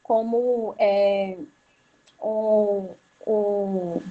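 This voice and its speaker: A woman, a Zumba instructor, answering a question on a video call in a halting way with many fillers and restarts. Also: mid-range voice, steady tone, muffled recording. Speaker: Zumba instructor guest speaker. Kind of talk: hesitant spontaneous academic answer.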